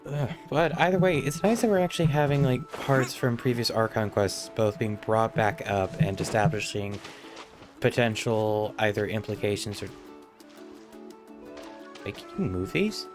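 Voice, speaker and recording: A man's voice: on the low side, measured pace, long pauses. A man live streaming a game, reading dialogue aloud and adding casual commentary. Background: game music.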